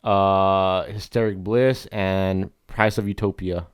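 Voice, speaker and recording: A man's voice: low-pitched, steady tone, takes it slowly, clean tone. A young man co-hosting a podcast, joking and teasing the others in casual banter. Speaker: podcast co-host. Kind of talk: casual chat.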